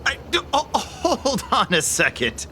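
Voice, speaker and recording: High, sharp-voiced nervous thief. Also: male voice, wildly swooping pitch, unhurried, slight background noise, heavily acted. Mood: cornered, defiant. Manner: stammering, hesitant, defensive. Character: shifty, nervous petty thief, cornered and blustering. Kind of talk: video game voice acting.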